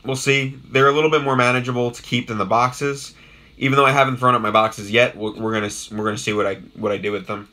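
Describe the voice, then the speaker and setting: A young man talks loosely on a live stream, his voice raspy and hoarse from a worn-out throat. His tone is bright and forward, and he wanders between thoughts with lots of filler and restarts. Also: medium pitch, little pitch movement, quick pace. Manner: rambling, with many ums and restarts, greeting viewers in chat. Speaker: YouTube host doing a live unboxing. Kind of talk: casual live-stream chat.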